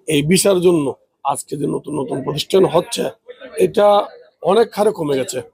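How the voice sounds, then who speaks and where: A man's voice: on the high side, moderate pace, vocal fry, a little mellow, slightly rough, no echo. A man from Bangladesh giving an earnest interview statement.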